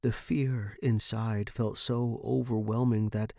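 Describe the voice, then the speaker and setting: A man's voice: on the low side, little pitch movement, takes it slowly, gravelly, warm tone, dry recording. Dry-sounding male horror narrator. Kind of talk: scripted horror fiction narration.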